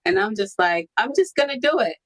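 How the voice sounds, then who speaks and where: A woman's voice: mid-range voice, sing-song, brisk. A woman guest on a podcast interview, telling her personal story in a candid, reflective way. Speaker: podcast guest, copywriting strategist.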